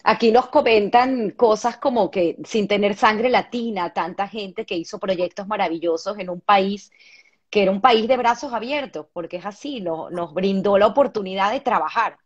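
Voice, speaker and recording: A middle-aged woman hosting an interview over a video call, asking questions in a relaxed way and reading viewer comments aloud. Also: mid-range voice, normal speed. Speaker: interviewer host. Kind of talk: casual interview. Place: home room. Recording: dull video-call audio.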